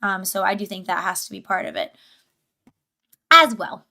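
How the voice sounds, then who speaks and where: A woman's voice: medium pitch, moderate pace, gravelly, sharp tone. A woman giving casual live reaction commentary as a streamer, rambling informally and asking questions as she goes. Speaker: livestreamer.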